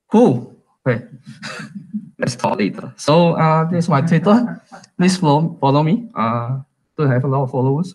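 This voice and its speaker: A young man from Malaysia giving a casual, halting talk at a tech meetup, with many fillers and restarts. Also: medium pitch, breathy edge, poor audio. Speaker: conference speaker.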